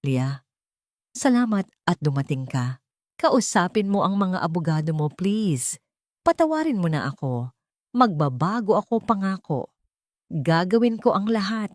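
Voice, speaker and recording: A woman's voice: lowish voice, big pitch swings, measured pace, long pauses, a little mellow, no echo, clean studio sound. A woman voicing a desperate, pleading jailed ex-husband in an audio story.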